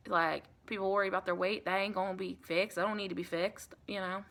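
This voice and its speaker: A woman playing a friendly, folksy female vampire in a soft-spoken ASMR roleplay, chatty and reassuring in a rambling, colloquial way. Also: mid-range voice, fairly even intonation, fast, lightly acted. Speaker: ASMR performer.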